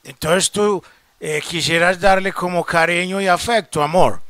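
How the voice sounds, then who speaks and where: A Colombian man making a comedic prank call, smooth-talking and full of pet names. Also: high-pitched, fairly even intonation, moderate pace, fairly bright tone. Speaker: prank caller, show host. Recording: very noisy phone-call recording.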